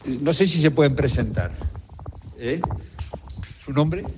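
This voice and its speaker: Reporter dude asking nuns stuff, lots of ehs, faint hiss. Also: male voice, a little high, slow pace, a little mellow, big-room sound. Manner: probing, with many hesitant fillers. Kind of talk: interview.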